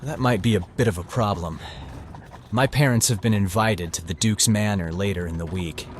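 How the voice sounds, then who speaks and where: A man's voice: fairly low pitch, normal speed, slight background noise, lightly acted. A man playing an earnest, worried young man from a farming family in an audio drama. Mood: uneasy, questioning.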